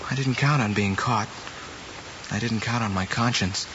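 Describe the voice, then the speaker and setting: An actor playing an earnest, guilt-ridden young American student, speaking in a plain, subdued way. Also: male voice, lowish voice, normal speed, a bit bright, close to an everyday voice. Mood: resigned, contrite. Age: young adult. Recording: dull, noisy old film soundtrack.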